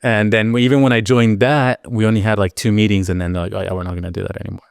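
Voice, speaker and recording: A man's voice: on the low side, flat delivery, fast, creaky, clear, resonant tone, no echo, studio quality. A man in his thirties from the Arizona–Mexico border, a music producer telling stories from his childhood in an easygoing, reflective way with plenty of fillers. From Arizona-Mexico border, Sonoran Desert. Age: 30s. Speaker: podcast guest, music producer and DJ. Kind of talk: casual interview chat.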